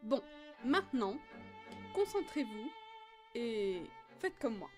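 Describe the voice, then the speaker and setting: A woman's voice: a little high, very animated, slow, a little mellow. A woman playing a young séance leader, by turns hesitant, wry and mock-ceremonial, in a comedic fan audio drama. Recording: music behind the voice.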